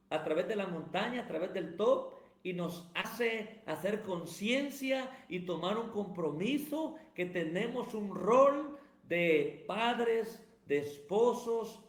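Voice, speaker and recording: Emphatic high-voiced pastor. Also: male voice, lively intonation, a little slow. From Guatemala. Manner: emphatic, sermon-like cadence. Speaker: pastor and evangelist, guest. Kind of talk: preaching-style interview answers. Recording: roomy echo.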